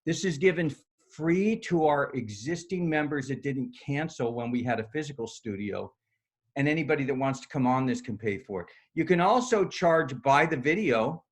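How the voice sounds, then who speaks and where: A middle-aged man, a yoga studio owner, giving a loud, upbeat, chatty screen-share talk over a video call, with lots of "right?" tag questions. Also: mid-range voice. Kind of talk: casual screen-share presentation.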